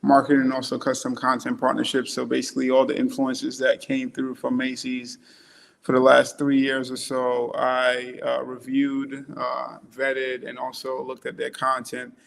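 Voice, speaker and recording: A man from Trinidad in his thirties, introducing himself on a panel in a relaxed, halting way with many fillers. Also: mid-range voice, creaky. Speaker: panelist, influencer marketer.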